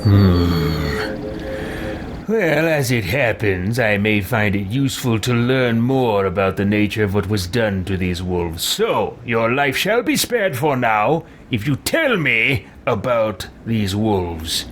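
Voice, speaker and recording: A man acts out a haughty, imperious game wizard. The delivery is theatrical and stretched, swinging widely in pitch, over clear background noise. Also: sing-song, takes it slowly. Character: pompous, imperious male wizard who condescends to everyone. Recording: noisy game audio behind.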